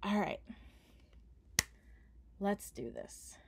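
A woman's voice: medium pitch, lively intonation, extremely slow, noisy background. A young woman doing an ASMR roleplay as a partner, playful, affectionate and teasing. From Canada. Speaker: ASMR performer.

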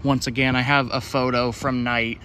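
A man's voice: medium pitch, level tone, moderate pace, pushed and bright, rough recording. A man giving casual, off-the-cuff walking-tour commentary as a vlogger, with a dry, self-deprecating sarcasm. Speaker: urban exploration vlogger. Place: outdoors, walking around a building exterior. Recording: outdoor ambience with traffic noise. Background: passing cars, outdoor urban ambience.

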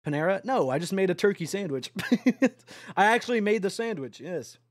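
Young male streamer, high and lively, casual and profane, talking to chat over a truck game, dry close mic. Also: normal speed, dry recording. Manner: excitable, swears often, yelps and laughs; addresses chat directly. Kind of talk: casual live-stream chatter while playing a truck driving game.

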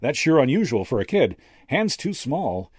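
A man's voice: medium pitch, sing-song, moderate pace, darkish tone, breathy edge, dry recording, pitched above the performer's own voice. A man voicing a slick, cynical younger stage magician with a cocky, slangy drawl, in an audiobook story. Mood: prying. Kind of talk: character dialogue in an audiobook story.

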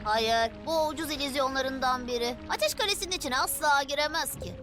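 A woman's voice: high voice, brisk, close to an everyday voice. A woman playing a nervous, boastful young boy hero in a Turkish-dubbed action cartoon, full of jittery bravado. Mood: cocky. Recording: loud music over the voice.

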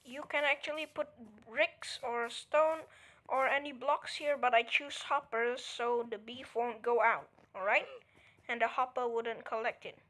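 A woman's voice: fairly high pitch, steady tone, a little slow, fairly bright tone, poor audio. A woman giving a casual step-by-step game tutorial, counting items aloud and checking in with "alright?" as she goes. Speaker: tutorial creator.

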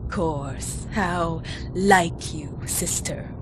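A woman's voice: on the low side, drawn-out words, background noise. A woman voicing a vain, scheming vampire in a video game, menacing and mocking as she savors her words. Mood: haughty, mocking.

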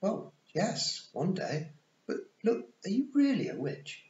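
An embarrassed, well-born boy, as a man reads him in a storybook. His voice is high and jumpy, and he stammers and hesitates before blurting things out. The sound is a little dull and roomy. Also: sing-song, slow, darkish tone, exaggerated voice. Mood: anxious. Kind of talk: audiobook character voice. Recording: muffled, roomy recording.

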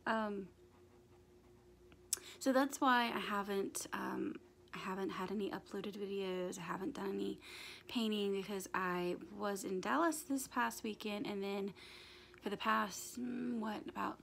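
A young woman in her twenties with a raspy, hoarse voice, chatting casually to a handheld phone camera in a small bedroom, with lots of ums and restarts. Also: medium pitch, fairly even intonation, measured pace, fairly bright tone, slight background noise. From United States. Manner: rambling, many ums and restarts. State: hoarse from screaming at a concert. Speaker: vlogger. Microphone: phone camera held at arm's length.

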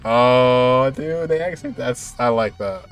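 A man's voice: medium pitch, measured pace. A man in his twenties giving casual, slangy reaction commentary on a video game, in a laid-back way. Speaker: gaming content creator. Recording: game music behind the voice.

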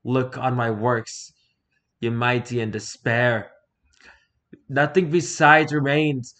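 A man plays a grave traveler telling of a fallen king. The verse is delivered slowly and solemnly, with theatrical weight. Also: medium pitch, fairly even intonation, fairly bright tone. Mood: grandiose. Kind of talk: dramatic poetry recitation.

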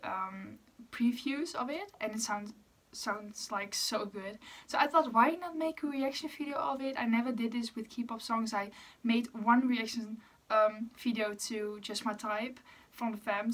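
A woman's voice: a little high, fairly bright tone, far from the mic. A young woman from the Netherlands with a Dutch accent, chatting casually and a bit apologetically as she reacts to a music video in her bedroom. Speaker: YouTuber. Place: bedroom with posters.

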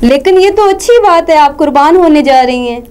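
A young female TV host with a high voice chats with puppets on a children's holiday show. She speaks in a studio, in a conversational presenting style. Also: expressive, a little fast, darkish tone, slight background noise. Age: young adult.

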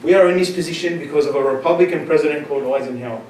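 A man's voice: fairly high pitch, very fast, a little mellow. A man in his thirties born in Syria, standing with a handheld mic as a panel speaker, talking conversationally with many fillers and then with growing force. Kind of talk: panel answer at a conference. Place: large lecture room, standing before the panel. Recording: roomy echo, distant camera, audible room noise.

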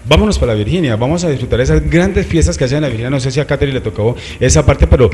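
Male morning-show host, thirties, Colombian accent, quick delivery, conversational interviewing. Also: medium pitch, darkish tone. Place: small TV studio set. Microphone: handheld microphone.

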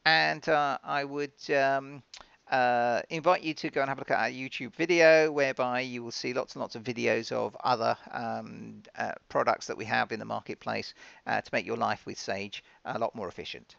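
A man presenting software in a conversational, step-by-step, informal explanatory style, recorded as a dull, lo-fi voice-over. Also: mid-range voice, fairly even intonation, normal speed, gravelly, no room sound. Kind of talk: conversational software demo narration.

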